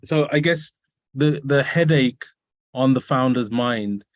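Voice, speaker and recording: A man casually moderating a webinar panel as host, halting and thinking aloud between questions. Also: medium pitch, no room sound, clean studio sound.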